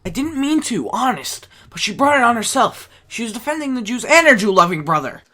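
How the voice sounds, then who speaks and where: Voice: medium pitch, big pitch swings, fairly quick, pushed and bright. An amateur actor playing an angry, defensive young Hitler Youth member in an audio drama, talking in a heated, defiant way.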